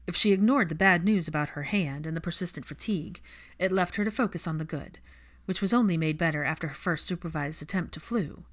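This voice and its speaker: A woman's voice: on the low side, a little fast. A woman reading fiction aloud as an audiobook narrator, in scripted storytelling style.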